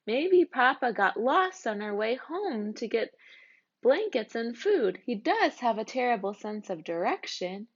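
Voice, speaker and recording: A woman's voice: on the high side, big pitch swings, measured pace, highs cut off. A woman voicing a bossy, outspoken but caring little girl in a storybook. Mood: reassuring.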